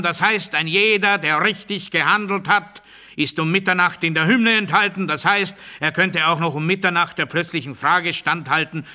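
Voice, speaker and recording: A regimented man obsessed with obedience in a literary radio play. His voice sits rather high, with a very sharp, forceful edge. He delivers absurd doctrine deliberately, as a declamation. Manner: deliberate, declamatory, swinging from hushed confiding to strident proclamation.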